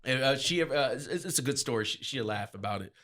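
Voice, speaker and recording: A man's voice: medium pitch, animated, a little fast, a bit bright. Male DJ being interviewed, mid-range voice, casual storyteller with emphatic, slangy delivery. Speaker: interview guest, event DJ.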